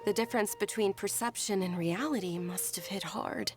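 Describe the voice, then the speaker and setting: Brief: a woman plays a soft-spoken, kind noble lady with a slightly fried voice. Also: medium pitch, moderate pace, music in the background, lightly acted. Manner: polite, soft-spoken, sympathetic. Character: gentle young noblewoman companion, polite and sympathetic. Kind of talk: video game cutscene dialogue.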